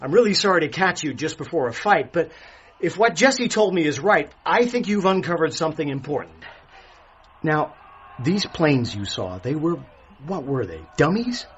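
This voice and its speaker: An actor playing an earnest reporter, direct and polite but urgent, in a radio drama. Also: male voice, a little high, sing-song, normal speed, slightly rough. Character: urgent, earnest American newspaper reporter, adult man. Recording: muffled, noisy radio drama scene.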